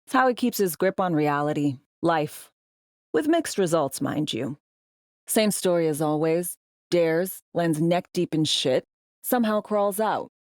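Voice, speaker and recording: A woman's voice: on the low side, lively intonation, unhurried, a little mellow, no room sound. A woman acting a world-weary undercover agent in a video game, with a dry, sardonic, conversational delivery. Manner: dry, sardonic, slangy and clipped. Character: world-weary, wry female undercover spy in a dystopian city.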